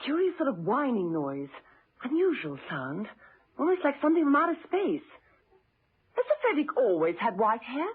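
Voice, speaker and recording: A woman's voice: a little high, very animated, moderate pace, slightly rough, exaggerated voice. An actress playing a poised, dryly witty British lady investigator in a radio drama, on a muffled old recording. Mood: cool, curious.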